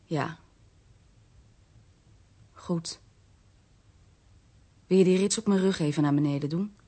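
A woman's voice: fairly low pitch, fast, dark tone, natural voice. An actress with a Netherlands Dutch accent playing a trusting, innocent woman in a radio drama. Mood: numb, subdued. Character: trusting, childlike innocent woman, partner of a burglar.

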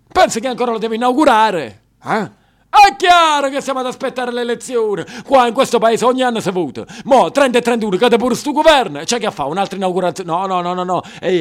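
A weary, dismissive middle-aged clerk, talking down to a young artist, as an actor puts him on. The voice sits high and hectors, loud and preachy. He sounds like a clerk holding court in a little provincial office. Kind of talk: theatre monologue performance. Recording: broadcast of a live stage show.

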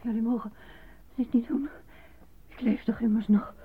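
A woman's voice: on the high side, steady tone, normal speed, a little hiss or hum, close to an everyday voice. An actress playing a desperate, frightened woman in a grim radio drama. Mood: pleading, tearful. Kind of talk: radio play acting. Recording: narrow, phone-like old radio recording.

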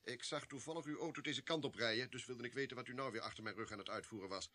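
An actor playing an evasive, coolly polite army colonel in a radio drama, speaking formally and with command. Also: male voice, medium pitch, fast talker, bright, forceful tone. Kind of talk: Dutch radio drama acting.

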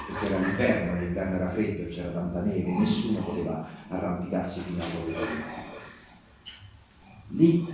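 An actor playing a wartime partisan who recounts his memories in a theatrical monologue, with emphatic repetitions and deliberate pauses. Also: low voice, background noise, natural voice. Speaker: theatre storyteller. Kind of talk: live theatrical narrative monologue. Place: live theatre performance with live music. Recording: very echoey stage recording, distant, music behind.

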